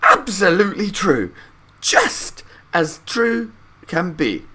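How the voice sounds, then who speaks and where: Elderly storybook grandparents, one man acting: high-pitched, excitable, emphatic, swooping exclamations. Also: takes it slowly, slightly rough, exaggerated voice. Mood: agreeing.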